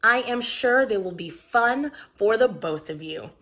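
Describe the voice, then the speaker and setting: A caring, busy storybook dad as a woman storyteller reads him, measured and parental. The voice is slow and clearly instructing, with lively rises and falls. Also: on the high side. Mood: explaining. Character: busy, caring father of two young children.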